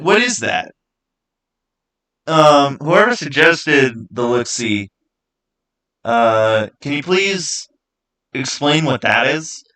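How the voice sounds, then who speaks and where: A man hosting a livestream, chatting casually with viewers in a halting way, often repeating words and phrases as he goes. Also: fairly high pitch, bright, forceful tone. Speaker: livestream host.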